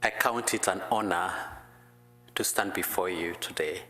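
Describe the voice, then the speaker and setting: A man preaching and praying with slow, careful phrasing; English is not his first language. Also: low voice, bright, forceful tone. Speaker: guest preacher. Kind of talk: prayer and sermon. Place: church sanctuary. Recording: echoey church hall.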